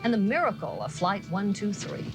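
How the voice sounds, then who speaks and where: A woman's voice: medium pitch, wildly swooping pitch, moderate pace, over music. A woman anchoring a local TV newscast on a noisy 1980s broadcast tape. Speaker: TV news anchor.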